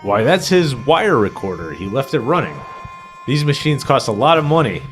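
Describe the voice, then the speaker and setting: A practical businessman of old radio drama , put on by a man, urging calm. The medium-pitched voice is emphatic and swings up and down in an amateur radio play. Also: normal speed, soft background music, close to an everyday voice. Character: practical male house-selling partner, urging calm.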